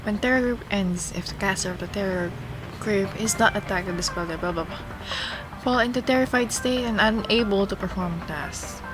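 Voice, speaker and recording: A woman's voice: mid-range voice, normal speed, rough recording. Streamer: woman, mid-range voice, casual game commentary and read-alouds, music in the background. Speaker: livestreamer. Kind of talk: casual gameplay commentary, reading game text.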